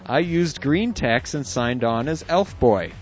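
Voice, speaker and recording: Casual male radio co-host, mid-pitched, reads emails with chuckles, dull lo-fi sound with faint music behind. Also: lively intonation, measured pace, darkish tone. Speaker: internet radio co-host reading listener emails. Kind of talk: casual on-air chat.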